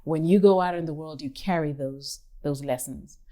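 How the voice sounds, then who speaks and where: A woman from Kenya in her fifties, an artist who speaks thoughtfully in an interview, pausing and rephrasing as she goes. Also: sits low, warm tone. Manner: thoughtful, measured, with pauses and restarts. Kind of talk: reflective interview talk.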